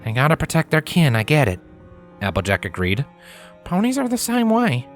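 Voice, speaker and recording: A woman's voice: low-pitched, wildly swooping pitch, moderate pace. Woman as a plainspoken cowgirl pony. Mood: understanding. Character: folksy country cowgirl pony, plainspoken mare. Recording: music behind the voice.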